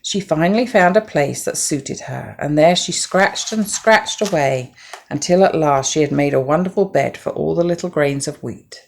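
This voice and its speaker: A middle-aged woman reads a children's tale aloud. Her voice is low, with plenty of fry in it. She keeps a measured, gentle storytelling manner. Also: a little hiss or hum. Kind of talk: scripted children's story narration.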